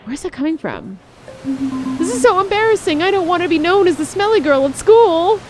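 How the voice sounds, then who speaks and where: A woman's voice: very high-pitched, very animated, fairly quick, darkish tone, faint music behind, pitched above the performer's own voice. A woman voicing a dramatic, awkward teenage girl who dreams of being cool, in a visual novel read-aloud. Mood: disgusted, embarrassed.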